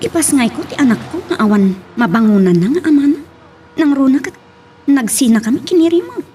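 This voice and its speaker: A woman's voice: high voice, animated, brisk, dark tone, distant mic, soft background music. A woman with an Ilocano Filipino accent playing a distressed, pleading young wife and daughter in an audio drama. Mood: distraught, tearful. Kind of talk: Ilocano radio-style drama acting.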